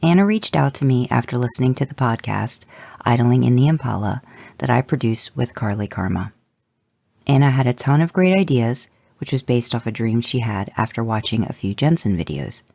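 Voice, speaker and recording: A podcast producer reading fan fiction aloud as a podfic, expressive and voicing the characters. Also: medium pitch, moderate pace, gravelly, no echo.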